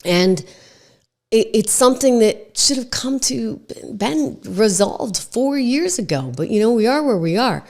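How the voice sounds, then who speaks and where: A middle-aged woman hosting a political talk show, slightly hoarse from a cold, talking emphatically and conversationally with lots of "like" fillers. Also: fairly high pitch, lively intonation, unhurried, darkish tone. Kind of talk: opinionated political commentary.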